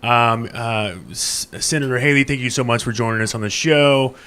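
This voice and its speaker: A man's voice: mid-range voice, fairly even intonation, normal speed, pushed and bright, smooth. A man hosting a live show in a casual, conversational style. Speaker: show host.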